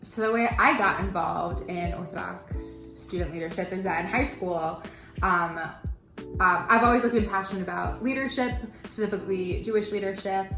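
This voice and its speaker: A woman's voice: mid-range voice, normal speed, vocal fry. A young woman talking casually about student leadership, with many fillers. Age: young adult. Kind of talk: casual chat. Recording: echoey room with music.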